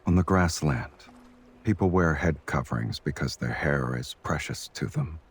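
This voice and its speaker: Romance game lead: very low, raspy-edged, confident, teasing yet tender, lively intonation. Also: male voice, normal speed, full character voice. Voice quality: husky. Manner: smooth-talking, playfully teasing.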